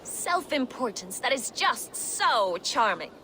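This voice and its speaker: A woman's voice: a little high, big pitch swings, takes it slowly, bright, forceful tone, slight background noise, heavily acted, rough recording. An actress playing a cocky, commanding Viking warrior woman with a wry, teasing manner in a video game cutscene. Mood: wry, confident.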